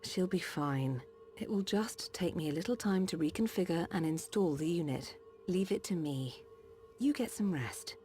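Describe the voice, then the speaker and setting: Female game doctor played by an actress, calm and dutiful, lower register, prominent music underneath. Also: moderate pace, close to an everyday voice. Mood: kindly. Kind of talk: video game cutscene voice acting.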